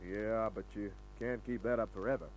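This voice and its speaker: Low-voiced wisecracking radio detective played by a man. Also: fairly even intonation, moderate pace, faint music behind, close to an everyday voice. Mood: flirtatious, casual. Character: wisecracking male private detective, flirtatious, tough, easygoing. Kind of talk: old-time radio drama acting with first-person narration. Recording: old radio recording with hum.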